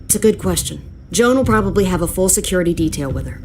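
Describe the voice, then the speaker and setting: A woman's voice: on the low side, expressive, quick pace, a little hiss or hum. An actress playing a tough, sardonic female survivor in a video game, dry and matter-of-fact. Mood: resolute.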